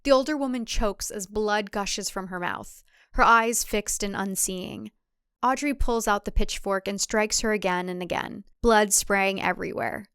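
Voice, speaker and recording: A woman's voice: mid-range voice, a bit bright, clean tone, no room sound, clean studio sound. A woman giving a dramatic scripted narration of a suspense thriller.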